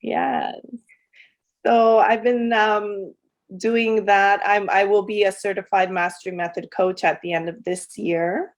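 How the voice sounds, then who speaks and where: A woman's voice: medium pitch, steady tone, measured pace. A woman who works as a coach, from El Salvador and raised in Canada, talking casually in a podcast interview with many fillers and restarts. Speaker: guest coach.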